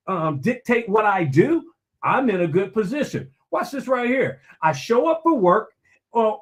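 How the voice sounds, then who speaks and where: Motivational guy, high voice swinging all over, preachy, keeps asking himself questions. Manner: preachy, emphatic, repeats key phrases; asks himself questions and answers them. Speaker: motivational speaker. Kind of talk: motivational talk.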